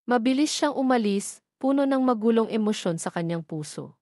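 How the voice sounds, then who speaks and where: A woman's voice: fairly high pitch, animated, normal speed, darkish tone, no room sound, studio quality. A woman reading a scripted romance story as a dramatic narrator.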